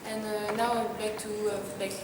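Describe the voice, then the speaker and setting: A woman's voice: mid-range voice, little pitch movement, fairly quick. A woman from France, introducing herself hesitantly with frequent fillers. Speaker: student. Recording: far off in a reverberant hall, loud noise.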